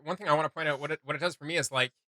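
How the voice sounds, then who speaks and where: A man's voice: on the high side, steady tone, very fast, bright, forceful tone. A man giving casual, slangy reaction commentary with enthusiasm, as host of a reaction video. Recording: music playing behind. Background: music.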